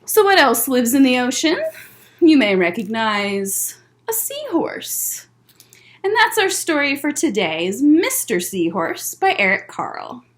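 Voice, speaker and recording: High-pitched, animated woman hosting children's story time. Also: measured pace. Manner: animated, simple child-directed phrasing, encouraging. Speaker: library story time host for young children. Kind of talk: children's story time, instructing and reading aloud.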